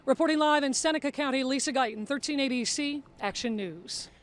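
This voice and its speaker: A woman's voice: high voice, a little fast. A woman with an American accent giving a live local television news report from the field. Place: outdoors at a rural scene.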